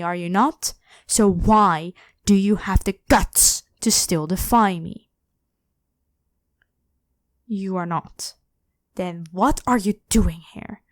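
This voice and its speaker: An ancient, ruthless female pharaoh performed by a woman in an ASMR roleplay. She speaks slowly in a mid-pitched voice, controlling and imperious, with theatrical scorn for the listener. The sound is dry, with no room around it. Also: dry recording, natural voice. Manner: haughty, commanding, theatrically scornful.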